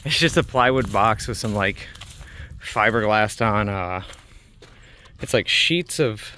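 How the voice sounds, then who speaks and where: A man's voice: fairly low pitch, animated, takes it slowly, pushed and bright, a little hiss or hum. Rambling young man, casual and profane. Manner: loose and rambling, many "like" fillers, swears casually. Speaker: vlogger touring a site. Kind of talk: casual walk-and-talk vlog. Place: outdoors at an abandoned film set, then inside an empty wooden building. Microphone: handheld camera.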